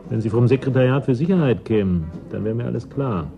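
A man's voice: mid-range voice, quick pace, vocal fry, mellow, lo-fi sound, faint music behind, lightly acted. An actor playing a blunt, distrustful working man in a radio drama, curt and sardonic in manner. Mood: probing. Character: gruff, suspicious, blunt working man of a frozen settlement. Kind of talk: German radio play acting.

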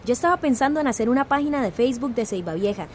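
An actress playing an eager young village activist in a radio drama, effusive and chatty, with a Central American accent. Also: female voice, on the high side, animated, rapid-fire, close to an everyday voice. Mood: eager, excited. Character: eager young female community activist organizing a village campaign. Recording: faint background noise.

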